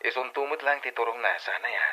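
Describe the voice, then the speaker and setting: Bright forceful young guy, sweet-talking boyfriend in a radio drama. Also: mid-range voice, fast talker. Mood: excited. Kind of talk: Ilocano radio drama acting.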